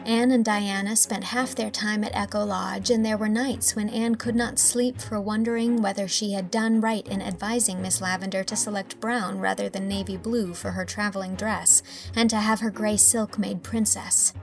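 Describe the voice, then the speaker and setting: A woman's voice: medium pitch, normal speed, creaky, faint music behind. A woman narrating a novel as a scripted audiobook.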